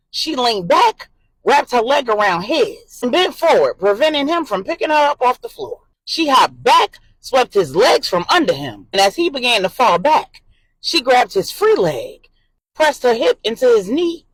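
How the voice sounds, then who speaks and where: A woman narrating an adult drama story in an expressive, suspenseful way and voicing the characters' dialogue. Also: fairly high pitch, husky, no echo, rough recording.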